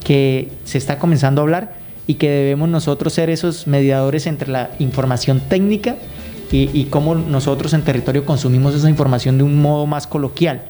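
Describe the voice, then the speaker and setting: A man's voice: on the high side, fairly even intonation, fairly quick, mellow, faint music behind. A Colombian man giving earnest, conversational interview answers as a radio guest, searching for his words now and then. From Colombia, raised in Guaviare. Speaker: radio guest, youth program consultant.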